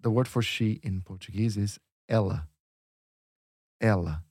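A man's voice: lowish voice, unhurried, darkish tone, breathy edge, no echo, clean studio sound. A man teaching Portuguese in a lesson dialogue, explaining patiently step by step with examples.

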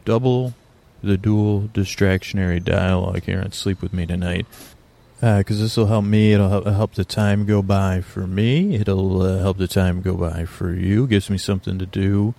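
A man hosting a podcast, talking in a meandering, halting way and drifting into a slow, lulling bedtime monologue. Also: low-pitched, fairly even intonation, gravelly, dark tone. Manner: meandering, halting, with restarts and filler words; slow drowsy lulling delivery. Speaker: podcast host.

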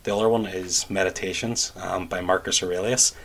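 A man's voice: low-pitched, steady tone, a little fast, vocal fry, fairly bright tone. A man co-hosting a podcast, explaining his current reading in casual chat. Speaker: podcast co-host.

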